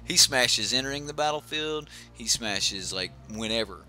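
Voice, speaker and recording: A man's voice: medium pitch, lively intonation, moderate pace, pushed and bright, soft background music. A young man casually commentating his own card game on a live stream, thinking aloud with lots of fillers and restarts.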